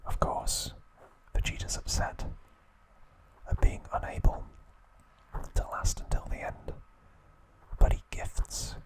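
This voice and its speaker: Slow whispering man, ASMR narration. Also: low-quality recording. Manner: soft steady whisper with casual asides. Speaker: ASMR creator.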